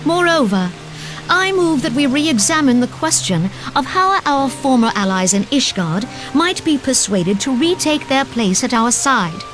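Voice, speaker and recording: A woman voicing a poised, formal stateswoman in a fantasy video game, speaking in measured, stately and archaic phrases. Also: high voice, sing-song, music in the background. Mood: resolute, persuasive.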